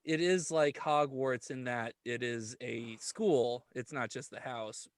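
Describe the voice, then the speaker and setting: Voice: mid-range voice, slow, dry recording. A podcast host in casual, explanatory chat.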